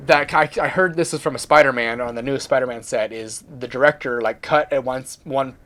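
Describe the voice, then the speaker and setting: A man's voice: medium pitch, fairly quick, fairly bright tone. A man co-hosting a casual chat about movies and games. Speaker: co-host.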